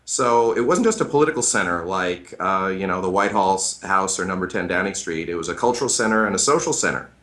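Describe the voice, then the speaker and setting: A man's voice: deep voice, lively intonation, a little fast, few pauses, fairly bright tone. An American man, a middle-aged history professor, explaining at length in a radio interview with frequent hesitant fillers. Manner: thoughtful, hesitant, many fillers.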